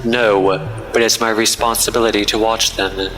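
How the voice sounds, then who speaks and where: A man's voice: on the low side, little pitch movement, moderate pace, pushed and bright, soft background music, poor audio. A man voicing a sullen, grimly watchful man in his eighties within a horror story. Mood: sullen, grave. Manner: subdued, grave.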